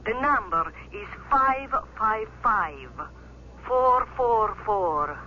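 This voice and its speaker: Secretive telephone stranger, bright voice. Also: female voice, mid-range voice, expressive, drawn-out words, muffled recording, full character voice. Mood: guarded, urgent. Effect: telephone filter. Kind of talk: 1970s radio drama acting. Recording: thin phone sound with faint hiss.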